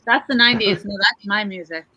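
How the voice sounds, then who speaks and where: A woman's voice: medium pitch, fairly quick, sharp tone, no room sound, dull audio. A woman in her thirties, a guest artist on a casual drawing stream, curious, asking questions and giggling. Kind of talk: casual chat while drawing.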